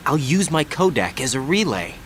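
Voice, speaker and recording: A man's voice: fairly high pitch, very animated, moderate pace. A man voicing an earnest, dutiful young field agent in a video game, plain-spoken and reassuring. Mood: businesslike. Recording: clear background noise.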